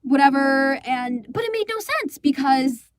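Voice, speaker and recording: A young adult woman telling a story in a casual, animated rant, swearing freely and exasperated, quoting people as she goes. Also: high-pitched, unhurried. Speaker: storyteller and amateur stage performer. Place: small indoor recording space with acoustic foam.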